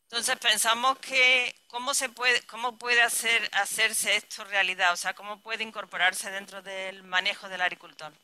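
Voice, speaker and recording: A woman's voice: mid-range voice, little pitch movement, normal speed, few pauses, bright, forceful tone, smooth. A woman researcher giving a conference presentation, thinking aloud and rephrasing herself with restarts.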